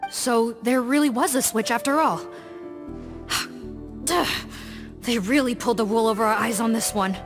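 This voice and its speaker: An actress playing a dutiful law officer who leads a team, speaking in a firm, businesslike, slightly weary way. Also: female voice, on the high side, a little slow, pauses often, close to an everyday voice. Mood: serious. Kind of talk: game cutscene voice acting. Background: game music.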